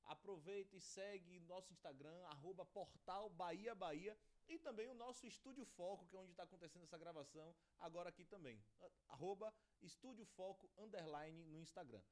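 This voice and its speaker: A man's voice: high voice, animated, a little fast, a little mellow. A Brazilian man hosting a studio podcast interview, talking with reverence about honor and friendship. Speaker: podcast host.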